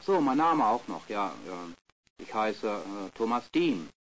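A man's voice: lowish voice, big pitch swings, slow. A man playing a chatty, teasing passer-by. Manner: talkative, slightly teasing replies. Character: chatty man in mid-life, soldier or mechanic. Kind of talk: scripted language-course role-play. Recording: lo-fi tape with faint hiss.

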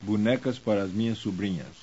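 A man with a somewhat low voice reads scripted language drills, each sentence slow and deliberate. His delivery stays evenly paced and instructional. The recording has the dull, lo-fi sound of an old course tape. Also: warm tone, breathy edge.

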